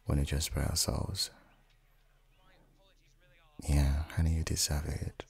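A man playing an affectionate, playfully teasing young dad and husband in an intimate ASMR audio roleplay, speaking softly and often dropping into whispers. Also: level tone, exaggerated voice. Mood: tender. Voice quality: very deep, husky, gravelly, breathy. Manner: soft, unhurried, often slipping into whispers.

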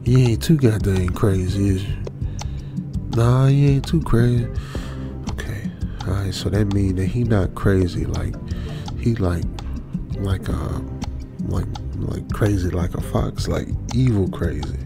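Man playing frightened young trucker, American accent, lowish pitch, nervous repetitive muttering, radio drama, loud background music. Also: unhurried, vocal fry. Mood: nervous self-reassurance. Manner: nervous, repetitive muttering, often dropping to a whisper. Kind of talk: old-school radio drama with ASMR whispering. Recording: music behind the voice.

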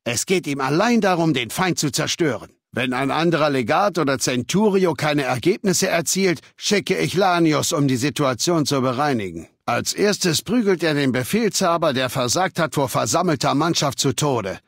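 An actor playing a ruthless, learned middle-aged warlord in a video game, speaking in a measured, authoritative, lecturing way with cold arrogance. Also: male voice, on the high side, expressive, no echo, studio quality. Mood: cold, explanatory. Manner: measured, authoritative, lecturing, coldly matter-of-fact. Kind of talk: scripted video game dialogue in German.

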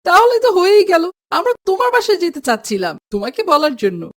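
A woman voicing a cheerful, excitable schoolboy in a children's moral cartoon. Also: extremely high pitch, a little fast. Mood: cheerful, excited. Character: cheerful, excitable schoolboy among picnic friends.

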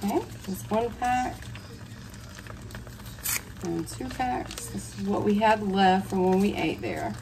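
A woman's voice: mid-range voice, slow, long pauses. A woman, chatting viewers through a cooking tutorial step by step. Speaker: cooking vlogger. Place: hotel room. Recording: distant mic with clear room noise.

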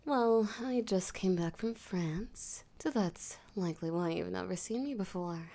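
Slow, creaky, seductive vampire voiced by a woman. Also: on the low side, dark tone. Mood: teasing, seductive. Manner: breathy, teasing, flirtatious, slow and deliberate. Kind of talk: intimate roleplay audio drama, spoken to the listener.

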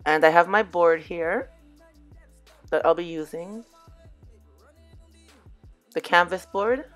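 Hoarse middle-aged woman, lowish voice, easygoing tutorial narration with faint music behind. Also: slow. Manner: relaxed, chatty, addresses viewers as "you guys". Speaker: DIY crafts vlogger. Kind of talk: casual crafting tutorial voice-over.

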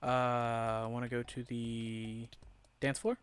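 A man's voice: medium pitch, flat delivery, takes it slowly, clear, resonant tone. A young man streaming a game at home on a headset, joking around, swearing and laughing with a friend. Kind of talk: casual gaming stream banter.

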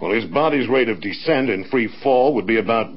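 A resolute, analytical air force colonel played by a man in a radio drama. He speaks with measured command at a middling pitch. The recording is dull, with a faint layer of noise. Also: natural voice.

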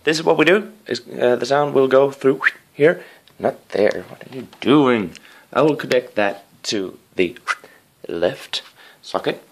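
A man giving a casual hands-on video review in a living room, rambling with apologetic asides and a playful, excitable streak. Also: medium pitch, measured pace. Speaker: amateur gadget reviewer. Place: living room with wooden floor and rug.

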